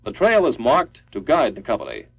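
A man's voice: medium pitch, wildly swooping pitch, moderate pace, gravelly. A man reading a scripted 1950s military training film narration in a formal, clipped, instructional manner. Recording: dull lo-fi 1950s film soundtrack.

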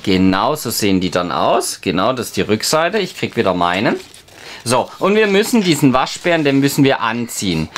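A man's voice: mid-range voice, very animated, moderate pace, a little hiss or hum. A man, casually and patiently explaining a children's game to a child in an encouraging way. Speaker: father playing and explaining a children's board game. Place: indoors at a table.

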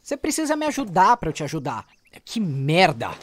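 A man's voice: fairly high pitch, wildly swooping pitch, fairly quick, a bit bright, exaggerated voice. A man playing an exasperated, nagging journalist partner who scolds his colleague in an audio drama.

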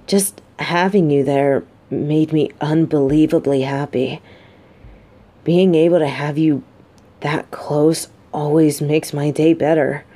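A woman plays a female military bodyguard in a romantic audio drama. Her voice is on the low side and mellow, with an unhurried pace. She is gruff on the surface but shy and lovestruck, halting and easily flustered. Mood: tender, shy. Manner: halting, flustered, soft-spoken. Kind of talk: scripted romantic audio roleplay.

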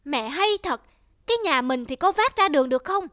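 A woman voicing a selfish young adult son in an animated moral tale, whining and complaining demandingly. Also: high-pitched, fairly quick, no room sound, studio quality. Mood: whiny demanding. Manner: petulant, complaining.

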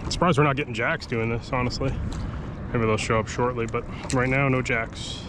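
A man's voice: mid-range voice, steady tone, fairly quick. A man giving casual running commentary while fishing from a kayak on open water, thinking aloud about his catch. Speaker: fishing vlogger. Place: kayak on open sea, outdoors. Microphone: action camera mounted on kayak. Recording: outdoor action-cam audio with wind and water noise. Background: wind and water noise.

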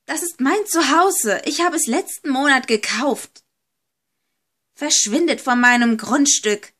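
A woman's voice: high voice, measured pace, fairly bright tone. Woman acting menacing villainous female villa owner. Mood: angry, scolding. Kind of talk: amateur horror audio story.

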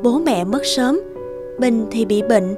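A woman's voice: fairly high pitch, expressive, takes it slowly, music in the background. A woman narrator reading a scripted fairy tale for a cartoon.

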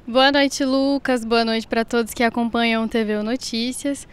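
A woman's voice: a little high, level tone, moderate pace, clean tone. A young woman reporting live outdoors at night, upbeat, with a handheld mic.

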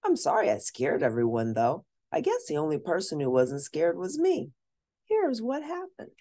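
A woman's voice: sits low, wildly swooping pitch, moderate pace, mellow, exaggerated voice. A woman voicing a curious, confident, chatty young girl in a storybook, eager and emphatic. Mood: confiding.

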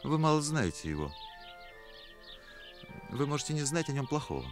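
An actor playing an awkward, fastidious, earnest young musician in a radio play, hesitant and polite. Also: male voice, a little high, sing-song, soft background music. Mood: disgusted. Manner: hesitant, polite, easily indignant.

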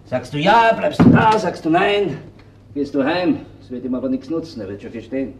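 An actor playing a blunt, sceptical police detective in a crime radio play, dry and matter-of-fact, with an Austrian accent. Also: male voice, on the high side, very animated, brisk, very noisy.

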